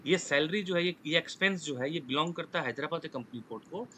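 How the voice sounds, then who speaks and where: A man's voice: fairly high pitch, brisk, bright, forceful tone, slight background noise. A man with an Indian accent teaching a live online class, rephrasing his points, checking understanding with short questions and mixing in English technical terms. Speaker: online course instructor. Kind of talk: live lesson explaining with examples. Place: online class with screen sharing.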